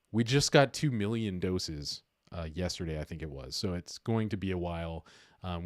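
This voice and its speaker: A man's voice: deep voice, dry recording, studio quality. A man hosting a casual livestream, talking informally and thinking aloud as he goes. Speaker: livestream host. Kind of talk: casual livestream chat about investing.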